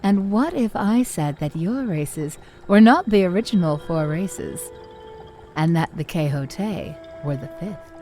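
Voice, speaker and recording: A woman playing a measured, cryptic lore-keeper in a fantasy audio drama, speaking in deliberate, formal storytelling. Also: lowish voice, expressive, mellow, faint music behind. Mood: knowing, challenging. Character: measured, cryptic female lore-keeper challenging her pupils' histories.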